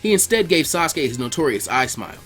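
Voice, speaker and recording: Male storyteller for fan fiction, higher-pitched voice, brisk pace, expressive scripted reading over music.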